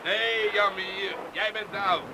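An actor playing a gruff, decisive lifeboat skipper who barks blunt orders in a radio play. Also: male voice, high-pitched, steady tone, measured pace. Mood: blunt banter. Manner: commanding, blunt, barking orders. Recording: noisy outdoor storm sound effects.

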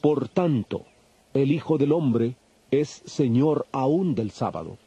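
A man playing a calm, authoritative holy teacher, solemn and measured, in a dramatized audio Bible. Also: medium pitch, slightly rough, dry recording, exaggerated voice. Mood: solemn teaching. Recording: dull lo-fi, faint music behind.

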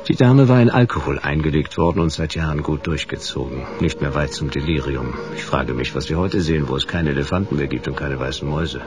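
An actor playing a world-weary, hard-boiled private detective in a noir radio play, laconic and sardonic. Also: male voice, a bass voice, fairly quick, hardly any pauses, music in the background. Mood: sardonic, narrating. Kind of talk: noir radio play with first-person narration.

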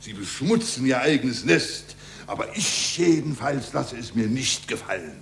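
A man's voice: medium pitch, lively intonation, measured pace, creaky. An actor playing an indignant, self-important churchman in a declamatory 1940s German radio play. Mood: indignant, grandiose. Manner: pompous, declamatory. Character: indignant, self-important churchman defending an earth-centred universe.

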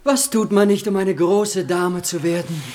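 Suave, high-voiced flattering count. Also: male voice, a little slow, a little hiss or hum. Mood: smooth, needling. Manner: polite, formal, suave. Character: smooth-talking flattering male count, courtly. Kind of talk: 1960s German radio comedy acting.

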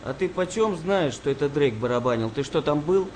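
A man's voice: fairly high pitch, lively intonation, brisk, a little mellow, natural voice. A man playing an earthy, grumbling wartime navy sailor with coarse, bantering talk in a Russian radio play. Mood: teasing. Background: music behind the voice.

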